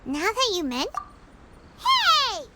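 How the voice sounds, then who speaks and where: A woman voicing a tiny, excitable, childlike fairy companion in a video game, squeaky and eager in manner. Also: slow pace, very noisy, full character voice. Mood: cheerful. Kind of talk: scripted video game character dialogue.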